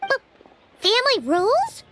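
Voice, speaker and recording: A woman voicing a tiny, chirpy, cheeky childlike fairy companion in a video game, with a squeaky voice and blunt way of talking. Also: huge pitch swings, measured pace, music in the background, heavily acted. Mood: surprised, curious. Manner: speaks of self in third person, blunt, chirpy.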